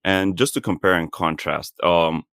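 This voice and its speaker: A man in his thirties hosts a podcast interview and breaks in with questions in a relaxed, chatty way. His voice sits low. The recording is dry and close. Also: moderate pace, clean tone, no echo. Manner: interjecting, asks follow-up questions. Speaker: podcast host. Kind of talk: casual interview chat.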